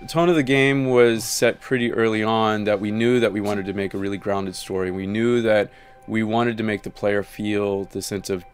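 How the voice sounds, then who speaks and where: A man with a lowish, even voice explains his work as a game developer. It is a documentary interview with faint music underneath. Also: normal speed.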